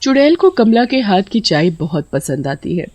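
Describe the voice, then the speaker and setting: A woman reads a scripted tale as narrator. Her voice is dark and mellow at a middle pitch, recorded very dry and close. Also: sing-song, normal speed, dry recording.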